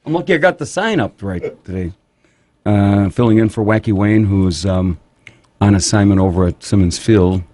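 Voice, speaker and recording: A middle-aged man hosting a morning radio show with quick, teasing jokes. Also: on the low side, mellow, no room sound. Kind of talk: casual morning radio banter.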